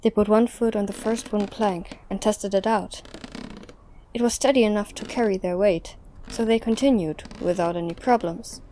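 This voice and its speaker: A woman reads scripted narration for an audio drama. Her voice is mellow and mid-pitched, recorded with faint noise behind it. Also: fairly even intonation, normal speed.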